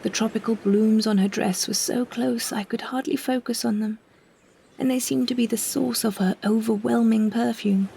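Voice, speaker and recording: A woman reading a first-person literary story in a measured, descriptive way. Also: fairly high pitch, fairly even intonation, vocal fry, dark tone, a little hiss or hum. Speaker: narrator and performer of a short story.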